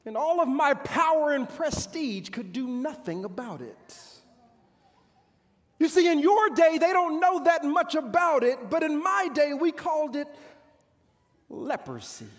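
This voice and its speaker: A man performing a theatrical stage monologue as a proud, bitter army commander, pompous and declamatory, with dramatic pauses. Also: very high-pitched, sing-song, exaggerated voice. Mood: bitter, frustrated, then anguished. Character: proud, boastful male army commander of ancient Israel's era, bitter. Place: large church hall stage. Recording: echoey hall with long reverb.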